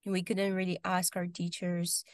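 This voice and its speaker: A young woman from Romania with a Romanian accent, answering interview questions casually on a video call, often pausing with ums and restarting sentences. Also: on the low side, monotone, no echo, clean studio sound. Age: young adult. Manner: hesitant, many ums and restarts. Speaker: guest. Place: home room, video call.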